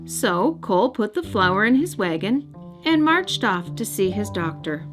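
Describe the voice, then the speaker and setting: A woman's voice: medium pitch, big pitch swings, a little slow. A woman reading a story aloud expressively for young children. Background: music.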